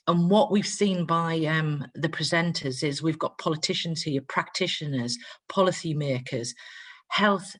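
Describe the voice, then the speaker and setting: A middle-aged woman, a university dean, giving an earnest welcome speech over a video call, with frequent ums. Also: deep voice. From not from Greater Manchester. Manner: warm, earnest, with frequent um fillers.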